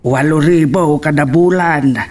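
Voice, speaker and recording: An old village father, plain-spoken and concerned for his child, acted by a man. His voice sits fairly high for a male and is heard slightly away from the microphone, in the manner of a homely radio drama. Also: unhurried, darkish tone, far from the mic, natural voice. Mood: matter-of-fact. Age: older. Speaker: actor in radio-style drama. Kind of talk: Ilocano audio drama acting.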